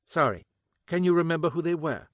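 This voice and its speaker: A man's voice: fairly high pitch, expressive, brisk, no room sound, clean studio sound, pitched above the performer's own voice, natural voice. A man voicing a sardonic woman detective. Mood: wry. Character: dry-humoured female detective sergeant.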